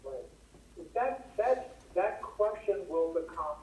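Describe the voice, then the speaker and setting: A man on a speakerphone in a public meeting, explaining county rules in a measured way. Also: high voice, lively intonation, a little mellow, distant mic, slight background noise. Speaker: county administrator on the phone. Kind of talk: public meeting answers by phone. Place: meeting room, heard over a speakerphone. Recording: phone voice on a speaker in an echoey room.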